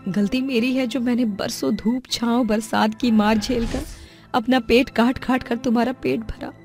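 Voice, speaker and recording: A woman's voice: a little high, steady tone, normal speed, darkish tone, voice pushed up, close to an everyday voice. Lady doing a sad poor devoted mom for a cartoon, pleading, raised voice. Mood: hurt. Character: poor, long-suffering, loving mother. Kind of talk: cartoon voice acting. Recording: music under the voice.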